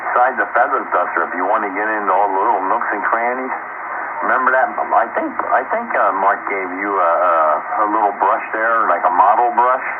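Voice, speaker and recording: A man's voice: on the low side, expressive, brisk, phone-quality sound. Male radio hobbyist, casual and easygoing, on a noisy, phone-thin transmission. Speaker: amateur radio operator. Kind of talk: casual radio round-table chat. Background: radio static.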